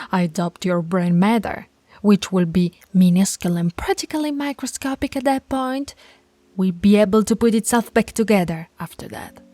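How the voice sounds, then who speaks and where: A woman playing a stern, dominant female alpha werewolf pack leader in an ASMR audio roleplay, scolding with deliberate menace. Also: mid-range voice, expressive, mellow, no echo, lightly acted. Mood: scornful lecturing.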